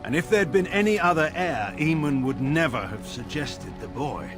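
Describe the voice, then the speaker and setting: An actor playing a proud, stern middle-aged general and father in a fantasy game, speaking in a grave, measured, formal way with dry sarcasm. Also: a little high, animated, over music, close to an everyday voice. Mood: scornful. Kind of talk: dramatic fantasy game dialogue.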